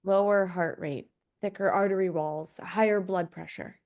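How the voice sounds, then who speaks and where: A woman's voice: on the low side, steady tone, moderate pace, darkish tone, close to an everyday voice. A woman playing a guarded, clinical middle-aged pediatrician in a script table read. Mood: skeptical, clinical.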